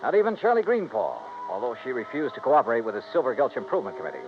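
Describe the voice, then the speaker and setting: An actor playing a wry, polite American insurance investigator in a 1950s radio detective drama. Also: male voice, mid-range voice, very animated, racing, close to an everyday voice. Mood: narrating, matter-of-fact. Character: wry, gentlemanly freelance insurance investigator hero. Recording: muffled, hissy vintage radio recording.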